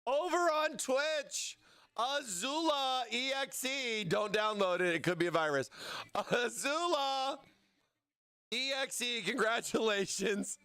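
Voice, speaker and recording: A man hosting a livestream giveaway, excitable and playful as he hypes up his chat, his voice strained from overuse. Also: very high voice, slow pace, clean tone. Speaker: livestream host.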